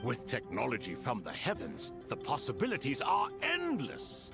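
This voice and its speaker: A man's voice: mid-range voice, huge pitch swings, moderate pace, vocal fry, over music. A man voicing a wry, grand ancient king spirit in a video game, with theatrical and ornate phrasing. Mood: proud.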